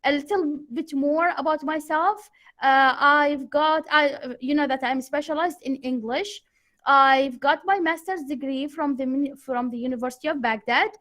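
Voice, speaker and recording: A woman with a very high voice and an Iraqi Arabic accent hosts an online class. She talks in a chatty, encouraging way, as a teacher does over a video call. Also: moderate pace, fairly bright tone. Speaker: English teacher, course host.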